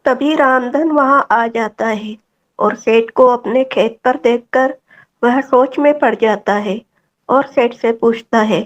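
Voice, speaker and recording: A woman's voice: fairly high pitch, slow, lo-fi sound. A woman narrating a scripted children's story.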